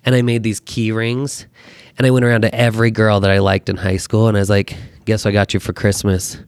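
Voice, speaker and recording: An American man in his thirties chatting casually as a podcast guest, telling personal stories in an animated, self-deprecating way. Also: lowish voice, a little fast, clean tone, no room sound. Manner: animated, self-deprecating joking with acted-out quotes. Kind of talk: casual chat, personal storytelling.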